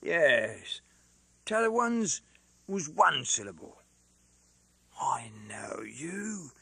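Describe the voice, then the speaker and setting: A man doing a hoarse, blunt Cockney porter. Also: on the high side, big pitch swings, drawn-out words, bright, forceful tone, no echo, pitched above the performer's own voice, heavily acted. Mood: insistent. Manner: blunt, colloquial. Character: hoarse, blunt working-class Londoner.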